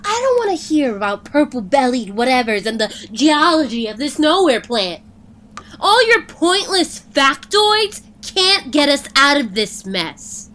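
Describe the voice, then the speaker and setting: A woman's voice: high-pitched, very animated, measured pace, fairly bright tone, exaggerated voice. A woman playing a hot-tempered, scornful treasure hunter in an audio drama, with a snappish, bossy delivery. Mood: irritated.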